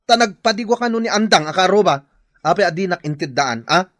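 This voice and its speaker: A man's voice: sits high, animated, brisk, a bit bright, no room sound, studio quality. A man with an Ilocano accent playing a lazy, self-pitying husband in a radio drama. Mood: indignant. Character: lazy, whining, self-pitying husband who shirks work. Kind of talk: Filipino radio drama acting.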